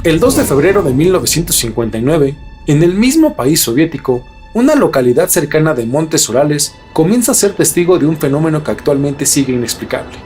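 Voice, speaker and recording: Man narrating mystery stories over music, higher-pitched voice. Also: big pitch swings, a little fast, few pauses, darkish tone. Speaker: podcast host. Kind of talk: scripted mystery storytelling narration.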